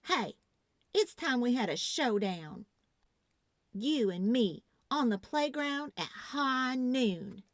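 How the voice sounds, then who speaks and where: Woman playing brash young cowgirl, animated. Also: a little high, slow pace, dry recording, pitched above the performer's own voice. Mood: challenging. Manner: folksy Wild West cowgirl talk.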